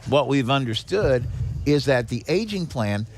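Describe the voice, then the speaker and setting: A man's voice: mid-range voice, expressive, a little slow. Man explaining things conversationally. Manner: explanatory, with rhetorical questions and check-in tags. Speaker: guest, executive director of a seniors nonprofit.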